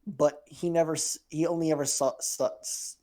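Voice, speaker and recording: A man's voice: on the high side, steady tone, normal speed, dark tone. A young man co-hosting a podcast, chatting crudely and casually, rambling, swearing and trailing off mid-sentence. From Filipino heritage. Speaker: podcast co-host.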